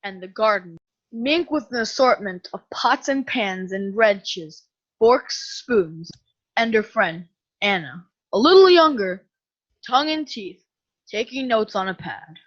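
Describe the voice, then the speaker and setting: A woman's voice: mid-range voice, expressive, unhurried. A woman announcing and narrating a scripted radio suspense play. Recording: dull lo-fi with music behind.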